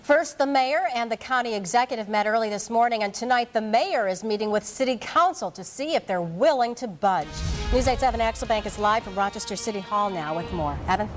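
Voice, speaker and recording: A woman's voice: a little high, lively intonation, brisk, faint music behind. A woman anchoring a television newscast from a studio, reading stories in broadcast style. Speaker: news anchor. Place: TV news studio.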